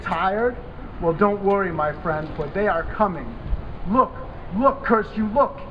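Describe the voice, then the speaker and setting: A crazed, vindictive scientist played by a man reading aloud rants and gloats in a high-pitched, theatrical voice. He taunts and menaces like a horror story villain. The live recording is dull, with clear background noise. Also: animated, measured pace. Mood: menacing, gloating. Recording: dull, noisy live event camera audio.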